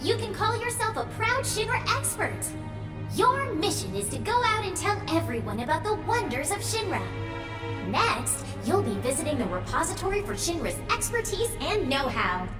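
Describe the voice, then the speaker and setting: An actress playing a cheerful corporate tour guide in a video game, with perky, scripted announcer delivery. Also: female voice, extremely high pitch, very animated, normal speed. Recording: echoing room, music behind.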